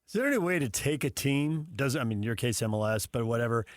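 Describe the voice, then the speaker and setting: A man with a mid-range voice, recorded dry. He hosts a sports radio interview, building his questions loosely as he thinks out loud. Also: medium pitch, expressive, fast, dry recording. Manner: rambling, thinking aloud.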